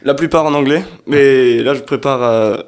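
A man's voice: medium pitch, normal speed, smooth. A man who is a musician and a guest on a radio show, answering casually in a hesitant way, with many fillers and restarts. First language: French. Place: radio studio.

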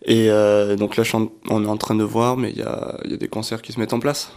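Hesitant male musician, low voice. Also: little pitch movement, fast talker, darkish tone, clear, resonant tone. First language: French. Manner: hesitant, many fillers and restarts. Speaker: musician guest. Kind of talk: casual radio interview. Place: radio studio.

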